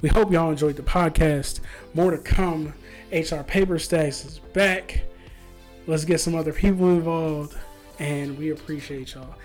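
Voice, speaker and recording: A man with a voice a bit on the high side hosts a casual podcast. His phrasing is informal and full of slang. Music plays behind him. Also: steady tone, measured pace. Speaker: podcast host.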